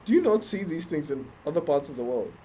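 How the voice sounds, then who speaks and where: A young man in casual podcast chat, loud and skeptical, quick to push back. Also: fairly high pitch, expressive, slight background noise, low-quality recording. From Australia. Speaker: podcast host.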